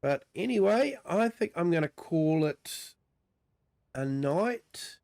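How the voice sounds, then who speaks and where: A man with a New Zealand accent and a croaky voice from a cold, giving easygoing livestream commentary on a farming game, full of ums and uhs. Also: fairly high pitch, animated, slow, a little mellow, no echo, studio quality. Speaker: streamer. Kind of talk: relaxed livestream gameplay commentary.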